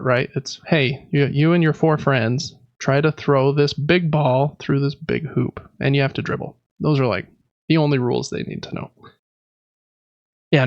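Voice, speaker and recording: A man's voice: on the high side, dry recording, highs cut off. An American man in his thirties chatting casually as a podcast co-host, rambling along with self-deprecating humor. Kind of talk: casual chat.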